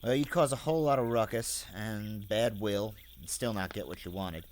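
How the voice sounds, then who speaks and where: A man's voice: fairly low pitch, moderate pace, slight background noise, natural voice. A man playing a stubborn, wry garrison general in a fantasy audio drama, dry and laconic. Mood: dry, unflappable.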